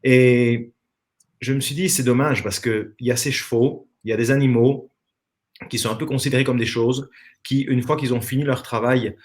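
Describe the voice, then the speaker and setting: A man's voice: medium pitch, fairly even intonation, moderate pace. A man from Belgium with a Belgian French accent, telling his story as a guest in a casual online interview over a video call, earnest and reflective. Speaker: interview guest, former professional dancer.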